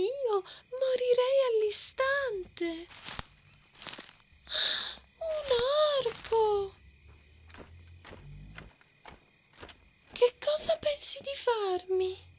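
A woman putting on a sugary, naive damsel in an ASMR roleplay, plaintive, drawn-out and exaggerated. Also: very high-pitched, pitched above the performer's own voice, exaggerated voice. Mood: helpless, frightened. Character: fake helpless damsel, sugary, naive, mocking.

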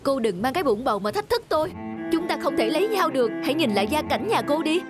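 A man's voice: very high-pitched, big pitch swings, fast, over loud music. A man voicing a cold, contemptuous young lover in a melodramatic cartoon. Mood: cold, scornful.

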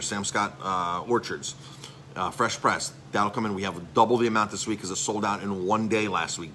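Chatty bright-voiced chef. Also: male voice, lowish voice, slight background noise. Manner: chatty, salesy, filler-heavy rambling. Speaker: chef and restaurant owner. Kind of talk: casual live-stream update. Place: restaurant.

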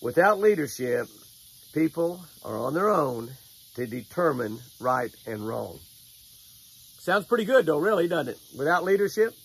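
A man's voice: fairly high pitch, big pitch swings, a little slow, vocal fry, husky. An older American man outdoors by a lake, giving a folksy devotional and fishing tip, repeating phrases for emphasis. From United States. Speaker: devotional host and fishing angler. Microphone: camera at close range.

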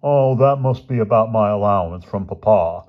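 A man's voice: fairly low pitch, normal speed, phone-quality sound, close to an everyday voice. A man voicing a prim, self-important rich schoolboy with an affected, aggrieved manner in a children's audiobook. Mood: smug anticipation. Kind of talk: children's novel audiobook character voice.

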